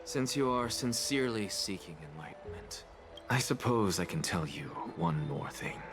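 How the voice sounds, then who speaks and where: A cynical, aloof professor played by a man talks in a low voice with dry, dismissive precision. The delivery is stern anime game acting with soft music behind. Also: lively intonation, moderate pace, close to an everyday voice. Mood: grave, dry.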